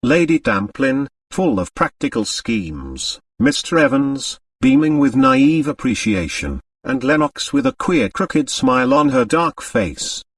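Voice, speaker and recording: A man's voice: mid-range voice, huge pitch swings, measured pace, gravelly, hardly any pauses, no room sound, studio quality, recorded from a distance. A man reading scripted literary narration as an audiobook narrator.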